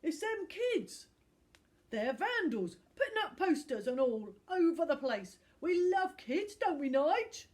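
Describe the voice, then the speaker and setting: A loud, fawning bully of a working man blusters and wheedles, as a woman puts him on. His voice is raised and insistent, sliding between excuses and flattery. It comes from a storybook read aloud. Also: sits high, slow pace, pitched above the performer's own voice, exaggerated voice. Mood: loud wheedling.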